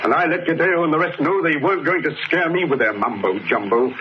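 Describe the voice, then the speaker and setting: Male actor as belligerent colonial husband, hard-drinking, scornful, rather high-pitched, on a muffled vintage radio horror recording. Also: fast, slight background noise, close to an everyday voice. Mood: scornful, stubborn. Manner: defiant, sneering, scornful. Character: belligerent, stubborn drunken husband and colonial bully. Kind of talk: old-time radio horror acting.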